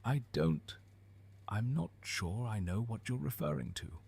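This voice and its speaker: An actor playing a nervous, evasive bookshop owner in a British audio drama, hesitant and apologetic, with a creaky voice. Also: male voice, mid-range voice, animated, a little slow, darkish tone, full character voice. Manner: hesitant, fidgety.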